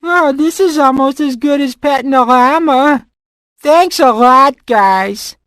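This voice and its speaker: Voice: high-pitched, slow, fairly bright tone, no echo. An actor voicing a timid, whiny young cartoon boy in a game, with a nasal, wheezy voice and an anxious, hesitant manner. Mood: awkward.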